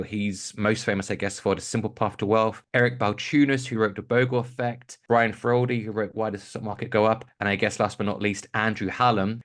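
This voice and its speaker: A middle-aged man from Kent speaks as a podcast guest. His voice sits fairly low, and he answers questions in a loose, reflective way, circling back and restarting his sentences. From England, Kent. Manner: thoughtful, rambling, many restarts and fillers. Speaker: guest, investing author and podcaster. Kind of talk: conversational podcast interview answer.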